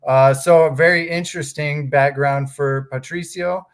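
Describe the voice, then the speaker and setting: A man's voice: fairly high pitch, fairly even intonation, moderate pace, clear, resonant tone. A man from Texas in his thirties, speaking, talking casually in an interview with many fillers and restarts. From Texas, USA. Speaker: guest, fraud examiners association staff.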